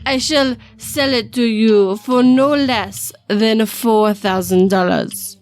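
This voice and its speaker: A woman voicing an older, reluctant French male shopkeeper in a storytelling piece, with theatrical, halting phrasing and a French accent. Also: fairly high pitch, darkish tone. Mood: grudging. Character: older French male art shop keeper, cagey and reluctant.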